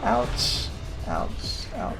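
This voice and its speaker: A woman's voice: extremely slow, fairly bright tone. Rambling woman game master over music. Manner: rambling, filler-heavy narration with frequent laughs. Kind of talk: narrating a tabletop game.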